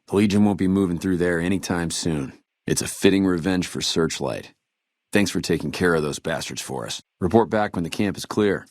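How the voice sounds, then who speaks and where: An actor playing a weary, dutiful army trooper in a video game, speaking in a measured, earnest soldierly way. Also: male voice, sits low, a little mellow, dry recording, clean studio sound, lightly acted. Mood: grave, businesslike. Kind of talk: video game dialogue acting.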